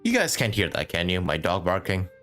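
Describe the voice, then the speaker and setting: A man's voice: low voice, huge pitch swings, brisk, fairly bright tone, soft background music. A man live-streaming a video game with casual commentary, thinking aloud and chatting with his viewers.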